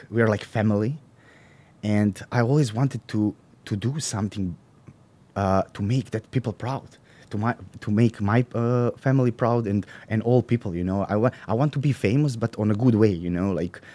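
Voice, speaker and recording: A young man with a Serbian accent speaks in a lowish, dark voice. He talks casually as an interview guest, pausing, repeating words and hunting for the right phrase. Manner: halting, searching for words, many fillers and restarts. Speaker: guest, professional bodybuilder.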